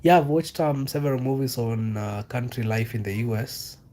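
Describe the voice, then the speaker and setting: A young man from Kenya, talking loosely and unhurriedly to his live-stream viewers. He fills his sentences with ums and you-knows and circles back to the same questions. His voice sits at an ordinary middle pitch for a man. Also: dark tone. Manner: loose and unhurried, many fillers and repeated questions. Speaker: livestreamer reading chat. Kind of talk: casual live-stream chat.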